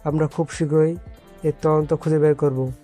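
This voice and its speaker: Man playing a firm, mellow-toned police officer. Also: a little high, little pitch movement, moderate pace, soft background music, lightly acted. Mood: firm, reassuring. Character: firm, no-nonsense police officer investigating murder. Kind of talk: dramatized audio story acting.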